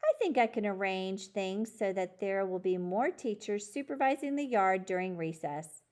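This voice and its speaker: A woman reading the part of a friendly, reasonable male principal in a children's storybook, in a measured, conciliatory manner. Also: medium pitch, a little mellow, natural voice. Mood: kindly, approving.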